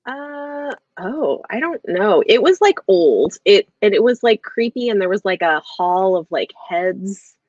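A woman's voice: medium pitch, expressive, measured pace, few pauses, darkish tone, no room sound. A woman in her thirties hosting a casual book chat, talking in a hesitant, rambling way peppered with "like". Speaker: book discussion host. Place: small room at home, video call. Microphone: earbuds on a video call. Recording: dull video-call audio.

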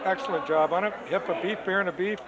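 A man working as a chef, talking in a relaxed, casual way as he lists the dishes at a banquet. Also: sits high, fast, over a noisy crowd. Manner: relaxed, listing items. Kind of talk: casual chat, describing dishes. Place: indoor banquet hall at a party. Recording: noisy camcorder audio at a party.

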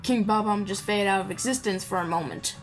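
Female gamer for a casual playthrough: playful, chatty, unscripted, mid-pitched, game music underneath. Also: a little fast. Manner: chatty, playful, mumbles asides to the game character. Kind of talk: casual improvised gameplay commentary. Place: indoor voice-over recorded over game audio. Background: video game music.

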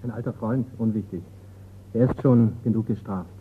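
An actor playing a shrewd young sleuth with a dry, polite, teasing manner in a radio crime drama. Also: male voice, on the low side, steady tone, normal speed, natural voice. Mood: composed. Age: young adult. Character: shrewd, coolly ironic young amateur sleuth. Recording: muffled phone-like radio recording, clear noise.

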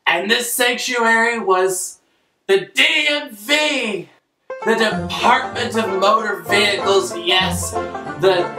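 A man's voice: sits high, takes it slowly, far from the mic, music in the background. A young man telling a comic bedtime story as a crass, theatrical father, recorded in an echoey bedroom. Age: young adult. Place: small bedroom, indoors. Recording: echoey room, off-mic.

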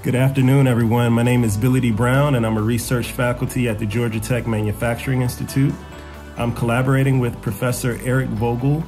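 A man's voice: medium pitch, flat delivery, a little fast, over music. A middle-aged man, a research faculty member, introducing himself formally and courteously on a webcam from a room at home. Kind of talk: self-introduction on video call.

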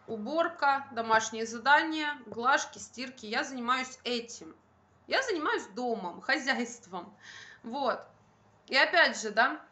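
A young woman with a fairly high, very bright and forceful voice talks to her phone at home. She vlogs in a casual, colloquial style, stressing her points as she airs her grievances. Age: young adult. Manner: emphatic, colloquial venting. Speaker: vlogger, stay-at-home mother. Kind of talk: casual chat to camera. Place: bright room at home by a window, indoors. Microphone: phone at arm's length.

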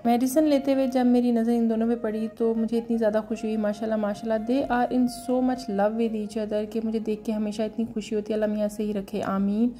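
A woman in her thirties tells her day in a relaxed voice-over, pausing often with soft fillers. Her tone is warm and dark, with very little rise and fall. A faint music bed plays beneath her. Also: on the high side. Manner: calm, unhurried, frequent fillers, mixes in English words. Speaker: vlogger, mother.

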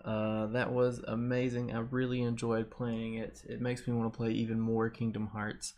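A young man hosting a gaming video, talking casually to his viewers on a headset mic. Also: medium pitch. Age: young adult. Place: indoors, home gaming setup. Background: game music.

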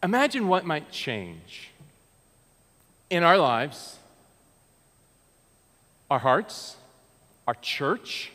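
An American man in his thirties delivers a sermon from the pulpit with an earnest, conversational manner. His voice sits fairly high and moves with lively swings of pitch, and he takes his time. The sanctuary adds a long reverb behind his words. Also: drawn-out words, long pauses. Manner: earnest and conversational, with frequent restarts and gestures. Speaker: pastor. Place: large church sanctuary. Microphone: pulpit mic, far camera.

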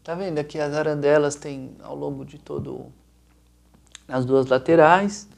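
A man's voice: fairly high pitch, steady tone, a little slow, gravelly, a little mellow. A middle-aged Brazilian man giving an informal explanatory presentation, rambling casually and searching for words aloud. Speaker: lighting designer presenting a project video.

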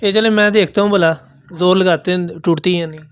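A man's voice: high-pitched, steady tone, a little fast, lo-fi sound, crowd noise. A man in his forties with a Pakistani accent, asking questions as a reporter in a casual interview. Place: small crowded furniture shop, indoors. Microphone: handheld microphone.

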